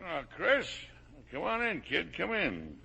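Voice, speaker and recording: A man's voice: on the high side, wildly swooping pitch, takes it slowly, pushed and bright, faint music behind, full character voice. A man acting in a 1950 radio drama as a middle-aged factory-owning father, folksy, blustering and defensive. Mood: falsely jovial. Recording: raspy old radio broadcast recording.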